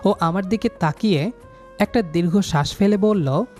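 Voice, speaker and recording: A man's voice: high voice, normal speed, a little mellow, soft background music. A young man narrating a scripted first-person love story in a dramatic, sentimental manner.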